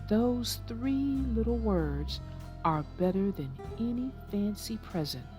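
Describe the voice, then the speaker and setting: A woman reading aloud as a loving mother turtle, speaking softly and tenderly in a storybook. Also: mid-range voice, expressive, slow, over music.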